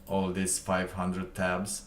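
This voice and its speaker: Low, slow-talking man rambling on stream. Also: monotone, slight background noise. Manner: rambling, halting, many fillers and restarts. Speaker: live streamer. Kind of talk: casual live stream chat. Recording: roomy, distant mic with faint ambience.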